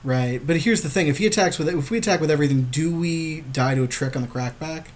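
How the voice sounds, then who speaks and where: A man with a slightly high-pitched voice talks strategy in an easy, conversational way. He sounds like a podcast co-host weighing each option with a partner while they play a card game. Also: fast.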